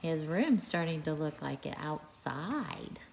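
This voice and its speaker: A woman pre-K teacher reads aloud to small children with expressive delivery. The room is noticeably echoey, with a little noise underneath. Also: sits low, takes it slowly, gravelly. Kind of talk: read-aloud storytelling for young children.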